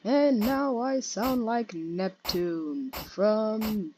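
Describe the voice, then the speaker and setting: A young teen boy with a high, childlike voice, casually commenting on a strategy game in a cheeky, offhand way. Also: very slow, noisy background. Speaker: gaming channel host.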